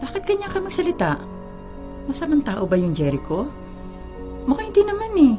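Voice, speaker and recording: A woman's voice: high voice, wildly swooping pitch, brisk, over music. A woman playing a caring, protective middle-aged mother with a Filipino accent in a radio drama. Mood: worried, agitated.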